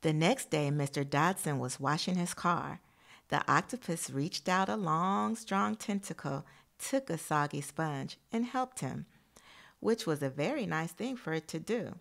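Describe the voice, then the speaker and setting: Woman, teacher, slightly low pitch, creaky fry, expressive storybook read-aloud, encouraging asides to young children. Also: unhurried. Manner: encouraging, addresses children directly.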